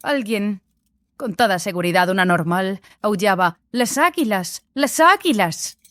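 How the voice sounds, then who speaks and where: A woman's voice: medium pitch, animated, measured pace, a bit bright, dry recording. A woman playing a frank, touchy young female sorcery student who sees visions in dreams, defensive and sardonic, in an audiobook drama.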